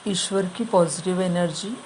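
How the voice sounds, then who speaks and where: A woman leading a guided meditation, giving instructions in short, measured phrases with long pauses between them. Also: lowish voice, a little mellow, slight background noise, low-quality recording.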